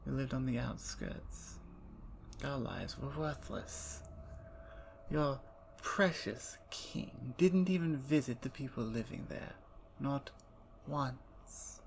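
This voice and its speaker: A woman voicing a playful, talkative female vampire in an ASMR roleplay, teasing and dropping into soft whispers. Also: extremely low pitch, expressive, slow pace, vocal fry, a little hiss or hum, heavily acted. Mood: bitter.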